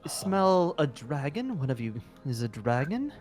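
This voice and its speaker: A man acts out a courteous, eccentric wizard from a fantasy game roleplay. His voice is on the high side and swoops about, with genteel, dithering phrasing and faint music behind. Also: big pitch swings, unhurried, a little mellow. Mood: curious, delighted. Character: polite, eccentric male guild wizard who sniffs out dragons. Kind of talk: improvised roleplay acting.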